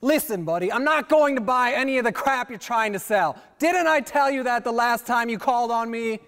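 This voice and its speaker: Man acting a very high-pitched, exasperated grouch. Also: moderate pace, smooth. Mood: annoyed. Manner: exasperated, loud protesting, sighing. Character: broke, irritable man pestered by a salesman. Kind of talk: comic stage play acting. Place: theater stage at a play festival. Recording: reverberant stage hall.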